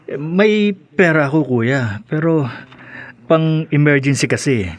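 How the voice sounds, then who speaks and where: A man's voice: on the high side, expressive, slow pace. A man acting an evasive but good-natured husband and younger brother in a Filipino radio drama, with a hesitant delivery. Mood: worried, coaxing. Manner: hesitant, stammering.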